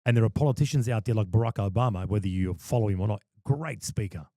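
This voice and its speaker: A man's voice: on the low side, fast talker, dark tone, no echo, studio quality. A man, a wedding MC and podcast guest, talking passionately and emphatically, often repeating words as he starts a thought. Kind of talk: casual podcast chat.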